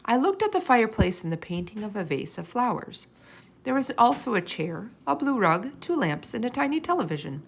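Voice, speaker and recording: Creaky-voiced woman reading a children's story. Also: on the high side, big pitch swings, brisk, rough recording. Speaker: storybook reader. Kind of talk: expressive children's read-aloud narration.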